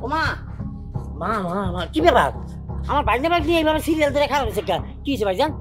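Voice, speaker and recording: A breezy, protective village uncle with a Bangladeshi accent. His high voice swings about as he argues glibly in a comic family drama, with clear music underneath. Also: rapid-fire. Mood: defiant, glib. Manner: argumentative, glib, animated.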